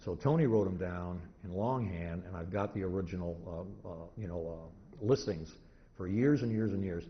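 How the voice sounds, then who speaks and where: A low-pitched man presents an informal history lecture in a live room. He rambles and stops and starts, restarting his sentences. The recording is slightly noisy and dull, with the top end missing. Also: moderate pace. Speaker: convention presenter, radio historian. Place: indoor convention talk room.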